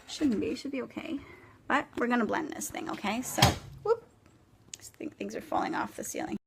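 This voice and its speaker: A woman's voice: a little high, expressive, normal speed, creaky. A woman in her thirties with a French accent, demonstrating a recipe to viewers and checking in with "okay?" and "guys" after nearly every line. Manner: chatty, keeps tagging "okay?" and "guys". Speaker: vlogger demonstrating a smoothie recipe. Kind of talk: casual kitchen demo, talking to viewers. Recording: noisy home recording.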